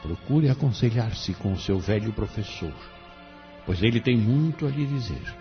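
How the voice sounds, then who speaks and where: Audio drama spirit mentor played by a man: benevolent, solemn, Brazilian, highly modulated exhortation, muffled sound. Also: animated, moderate pace. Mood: solemn, consoling. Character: benevolent fatherly male guardian spirit, solemn and consoling. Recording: dull lo-fi audio with music behind.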